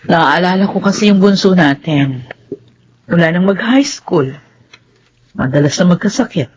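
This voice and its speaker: A husky, high voice plays a tired, worried parent in a radio drama. The delivery is gentle, hesitant and anxious, in slightly muffled sound. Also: male voice, animated, unhurried, heavily acted. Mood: weary, worried. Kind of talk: Filipino radio drama acting.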